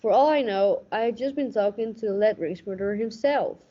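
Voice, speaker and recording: A young woman playing a cynical, hardboiled police lieutenant with deadpan, tough-talking noir narration in a radio play parody. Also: a little high, fairly quick, noisy background, lightly acted. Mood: brooding, deadpan. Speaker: student radio play actor. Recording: dull, muffled lo-fi recording.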